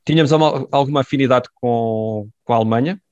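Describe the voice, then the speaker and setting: A middle-aged man with a European Portuguese accent, answering interview questions in a measured, hesitant way with many pauses. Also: mid-range voice, darkish tone. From born in France, raised in Portugal. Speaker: guest. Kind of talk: conversational interview. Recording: dull dry lo-fi sound.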